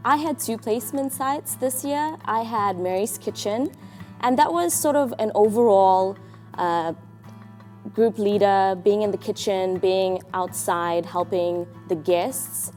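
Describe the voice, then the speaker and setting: A woman's voice: mid-range voice, measured pace, darkish tone, soft background music. A woman from South Africa with a South African accent, earnestly recounting her volunteer year. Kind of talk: testimonial interview.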